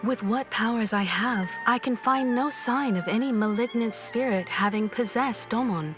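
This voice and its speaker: A woman playing a composed, courteous shrine maiden in a video game, speaking formally and deliberately. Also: on the high side, over music, close to an everyday voice. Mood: apologetic, calm.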